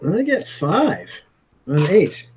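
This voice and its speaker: A man playing a tabletop game, talking quickly and eagerly. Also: high voice, very animated, darkish tone, a little hiss or hum. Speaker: player. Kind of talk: casual tabletop play.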